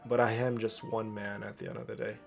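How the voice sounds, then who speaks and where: A man's voice: on the low side, little pitch movement, brisk, creaky. A man streaming to a community, talking casually and off the cuff in an earnest, emphatic way, often repeating phrases. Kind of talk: casual unscripted personal talk over gameplay. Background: game music and character voices.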